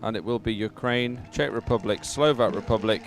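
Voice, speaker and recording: A man giving live sports commentary as an event announcer, in a measured, hesitant way with frequent pauses. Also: fairly low pitch, faint music behind.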